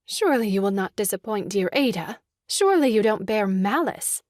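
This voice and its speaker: A woman's voice: mid-range voice, lively intonation, normal speed, a little mellow, no echo, clean studio sound, close to an everyday voice. A woman playing a slyly sweet, wheedling lady in a stage farce, in a mannered, coaxing way. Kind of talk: stage farce audio drama acting.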